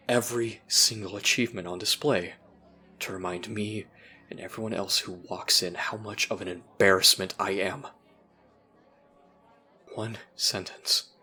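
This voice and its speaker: A man playing a troubled, self-blaming teenager in an audio roleplay, speaking in a halting, confessional way. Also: sits low, bright, forceful tone, slightly rough, full character voice. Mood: bitter, hurt.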